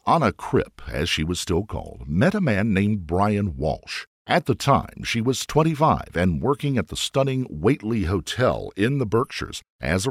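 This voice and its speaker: A man's voice: on the low side, breathy edge, no echo, studio quality. A man narrating a scripted true crime story in a dramatic storytelling manner, posing rhetorical questions.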